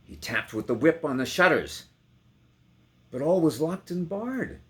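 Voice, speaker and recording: A man's voice: fairly high pitch, sing-song, a little slow, voice pushed up. A man performing as a brooding storyteller in a dramatic poetry recitation, theatrical and varying strongly in force. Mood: dramatic, intense. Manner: theatrical, swelling and dropping to whispers. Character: brooding, dramatic storyteller of a romantic ghostly ballad.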